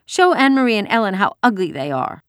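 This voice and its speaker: A little girl played by a woman narrator in a children's storybook, pitched high and swinging widely. She is stubborn and indignant, wailing and bellowing every complaint with great emphasis. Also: sing-song, fast talker, dry recording, clean studio sound, lightly acted. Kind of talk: acted children's audiobook dialogue.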